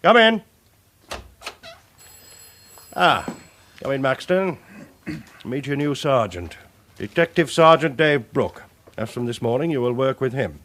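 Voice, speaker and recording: An actor with a British accent playing a brisk, commanding male police chief inspector, giving curt orders in a radio drama. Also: medium pitch, very animated, fairly bright tone. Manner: curt, authoritative, clipped orders. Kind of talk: 1980s radio drama acting.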